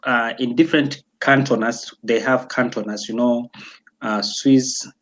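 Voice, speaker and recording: A young man from Tanzania talking casually as an interview guest, pausing often with fillers and restarts. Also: medium pitch, steady tone, fairly bright tone. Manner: hesitant, many fillers and restarts.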